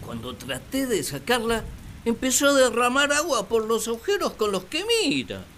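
A woman's voice: fairly high pitch, big pitch swings, moderate pace, a bit bright, slight background noise. A woman playing a naive, grumbling first man who loves solitude, with whiny, exaggerated delivery in a radio drama. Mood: annoyed, puzzled. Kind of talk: radio drama monologue and dialogue.

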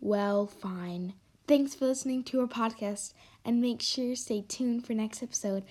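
A female co-host of a homemade podcast with a high-pitched voice. She talks informally, in a chatty style. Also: moderate pace, a little mellow.

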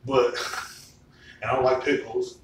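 A man's voice: medium pitch, flat delivery, slow pace, a bit bright. A man in his thirties hosting a casual chat, rambling and swearing freely with lots of "like". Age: 30s. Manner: loose, profane storytelling with frequent "like". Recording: echoey room, far from the mic, faint noise.

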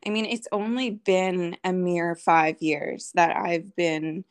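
A woman's voice: lowish voice, fairly even intonation, slow, smooth. A woman speaking earnestly and thoughtfully as a guest in a reflective interview. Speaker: podcast guest, homesteader.

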